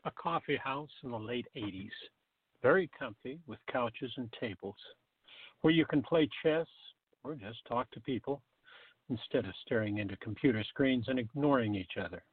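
A man's voice: mid-range voice, normal speed, husky, no room sound. A man reading scripted scene-setting narration for a radio play. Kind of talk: scripted stage-direction narration. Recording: dull lo-fi audio.

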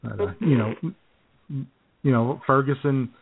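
Dry, halting male radio co-host. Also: medium pitch, gravelly, breathy edge. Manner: halting, full of "you know" and restarts, dry sarcasm. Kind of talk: casual comedic radio banter. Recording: tinny phone-like line.

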